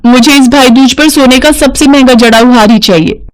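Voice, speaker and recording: A woman's voice: high voice, normal speed, close to an everyday voice, rough recording. A woman playing a headstrong, wronged young wife and mother in an emotional, melodramatic cartoon story. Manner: emotional, pleading.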